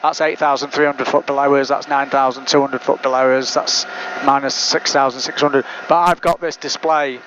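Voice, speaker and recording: A man's voice: medium pitch, steady tone, normal speed, hardly any pauses, fairly bright tone. A man flying a small plane, chatting casually as a pilot and making radio calls over a headset. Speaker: private pilot presenting own flight. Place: small aircraft cockpit in flight. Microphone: aviation headset. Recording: muffled noisy light-aircraft headset intercom. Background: engine and cabin noise.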